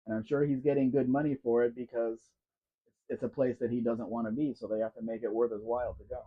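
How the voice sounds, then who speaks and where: A man's voice: mid-range voice, flat delivery, fast talker, husky, narrow, tinny audio. A man hosting a casual live question-and-answer stream, chatting loosely and answering viewers by name. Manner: chatty, answers chat by name, wanders while talking. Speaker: livestream host.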